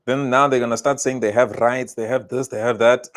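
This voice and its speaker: A South African man rants through heated commentary, emphatic and scolding. His voice sits at an everyday middle pitch. He hammers each warning home and repeats it. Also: steady tone, moderate pace.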